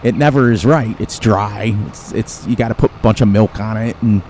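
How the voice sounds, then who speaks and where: A man's voice: on the low side, moderate pace, darkish tone, a little hiss or hum. Rambling, chuckling man. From United States. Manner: rambling, chatty, chuckles often. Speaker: gaming channel host. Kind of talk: casual gameplay commentary.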